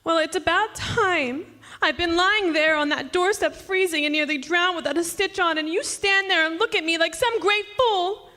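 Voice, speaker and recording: A woman's voice: very high voice, normal speed, sharp tone. An actress playing a bossy, sly witch wife in a radio drama, speaking in an imperious, theatrical way. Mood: indignant, scolding. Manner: imperious, scolding, coy. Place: stage performance in a community club hall. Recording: echoey hall from a stage.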